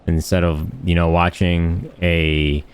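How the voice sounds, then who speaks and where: A man's voice: sits low, flat delivery, takes it slowly, clear, resonant tone. A man giving casual, unscripted gaming commentary in a blunt, rambling, slangy and crude way. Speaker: gaming video host.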